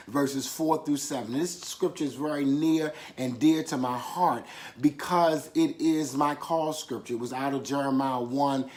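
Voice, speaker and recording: A man's voice: mid-range voice, a little mellow. Male preacher delivering an emphatic sermon. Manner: emphatic repetition with call-and-response affirmations.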